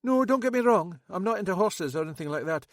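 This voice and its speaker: A jittery young Scottish man, voiced by a male audiobook reader pitches high and pours out his words in a rush, loud and self-pitying. His voice swings wildly up and down. The sound is dry and close. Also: fast, no echo. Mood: nervous. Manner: excitable, rambling, self-pitying.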